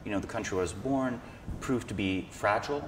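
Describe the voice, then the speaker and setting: A man's voice: lowish voice, quick pace. A man around thirty, explaining his ideas in a thoughtful, analytical, conversational way as an interview guest. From Slovenia. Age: 30s. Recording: echoey hall with background noise.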